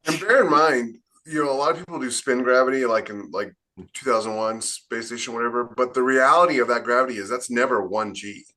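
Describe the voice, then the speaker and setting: A man's voice: mid-range voice, brisk, sharp tone, rough recording. A man co-hosting a podcast, explaining his views casually and emphatically with wry asides. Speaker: podcast co-host and science fiction author. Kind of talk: casual opinionated panel chat.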